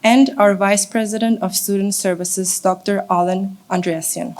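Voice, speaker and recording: A woman's voice: medium pitch, steady tone, moderate pace. A young adult woman giving a formal welcome speech as a student host at an event. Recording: faint background noise, clicks.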